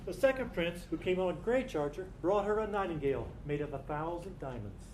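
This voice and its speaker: A man with a notably high voice tells a story as part of a staged reading. There is faint noise in the live recording. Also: expressive, fairly quick, darkish tone, rough recording. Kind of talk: dramatized literary storytelling.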